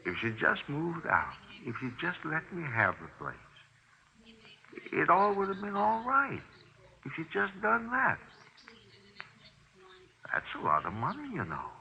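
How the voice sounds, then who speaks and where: A man's voice: fairly high pitch, creaky, sharp tone, full character voice. A man acting a nervous, self-justifying landlord who confesses to killing, pleading and stammering in 1950s radio drama. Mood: bitter, pleading. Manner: agitated, pleading, repetitive, stammering. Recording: dull, noisy 1950s radio recording.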